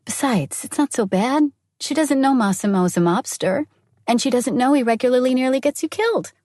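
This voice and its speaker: A woman's voice: high voice, expressive, normal speed, a little mellow, no room sound, voice pushed up. A woman voicing a young woman's blunt, bossy best friend who orders and teases. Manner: blunt, teasing, commanding.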